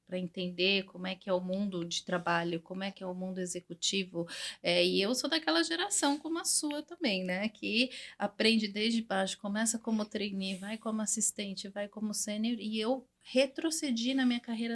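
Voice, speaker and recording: Guest: woman, 40s, born in Chile; lively chatty interviewee, mid-pitched, tag-question habit. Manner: animated, lots of tag questions. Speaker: guest, English teacher. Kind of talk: casual interview chat.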